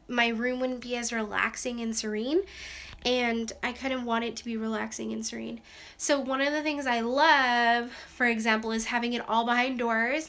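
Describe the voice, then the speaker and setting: A woman's voice: a little high, bright, forceful tone. A young woman with a Canadian accent, chatting casually to camera as a craft vlogger in her small bedroom craft room, with lots of "like" and "um".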